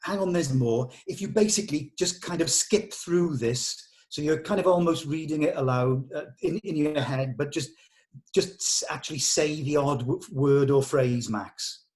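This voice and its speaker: A man's voice: a little high, normal speed, a little mellow. A man giving actors directions during a script read-through, firm and plain-spoken in the way he puts things.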